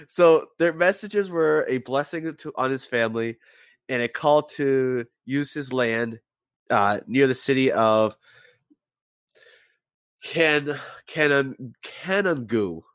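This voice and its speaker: A man's voice: mid-range voice, slow, pauses often, no echo. A man with a head cold hosting a casual podcast, rambling through a story and stumbling over names as he swears offhandedly. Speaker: podcast host.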